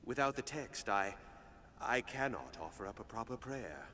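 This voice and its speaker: A man's voice: medium pitch, sing-song, normal speed, crowd noise, natural voice. A man playing a humble, devout novice village priest in a fantasy video game, with formal, earnest, archaic speech. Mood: troubled, humble.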